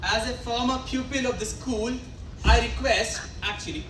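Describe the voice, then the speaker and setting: A woman's voice: a little high, moderate pace, close to an everyday voice. Stubborn, slangy Indian ex-pupil played by a young woman, insistent stage comedy delivery, distant noisy hall recording. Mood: demanding. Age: young adult. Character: brash, stubborn male former pupil demanding money. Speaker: student actor in a college play. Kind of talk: amateur stage comedy acting. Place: live college stage, picked up from afar.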